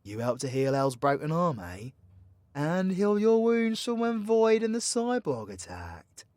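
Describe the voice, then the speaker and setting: A man acts out a swaggering British supervillain mentor in an audio roleplay. The voice is a little high for a man and very animated, leaping around in pitch. The delivery is theatrical, slangy and foul-mouthed, teasing the listener, in a very dry recording. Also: a little slow, no echo. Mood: teasing, instructive. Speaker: voice actor. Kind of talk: villain ASMR audio roleplay, addressing the listener.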